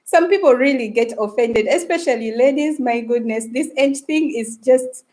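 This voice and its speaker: Woman, Luganda speaker, live-stream host, chatty and a touch high-pitched. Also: normal speed, a little mellow. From Uganda. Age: adult woman, married. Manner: chatty, greets and reads viewer comments aloud. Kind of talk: casual live-stream chat.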